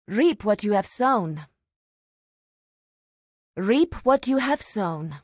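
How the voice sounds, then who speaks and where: A solemn priestess of the grove for a fantasy video game. Her high voice moves with deliberate, formal theatricality and rises and falls widely. The recording is dry and close, with a zealous edge to the delivery. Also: dry recording. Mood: calm, formal welcome.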